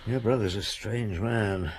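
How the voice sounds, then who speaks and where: An actor playing a courteous, gruffly patient middle-aged chief inspector in an audio drama, asking questions with polite hesitation. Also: male voice, fairly low pitch, unhurried. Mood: probing.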